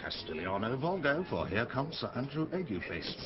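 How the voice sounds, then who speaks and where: A man's voice: medium pitch, sing-song, moderate pace, crowd noise, natural voice. Boozy, mischievous knight, male actor, Shakespearean radio comedy. Big, exclamatory, very varied intonation; slightly dull recording. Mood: blustering, merry. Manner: expansive, teasing, exclamatory. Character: boisterous, hard-drinking, mischievous knight and uncle. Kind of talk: Shakespearean radio drama acting.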